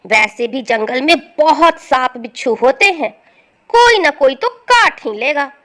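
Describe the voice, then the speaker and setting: Woman playing a scheming, high-voiced elder daughter-in-law. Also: expressive, unhurried, fairly bright tone. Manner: insinuating, urging. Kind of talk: storybook acting.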